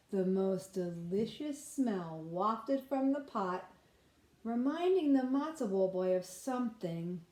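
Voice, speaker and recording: A woman reading a children's storybook aloud like a nursery teacher, pausing to put simple questions to listeners. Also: medium pitch, warm tone, echoey room.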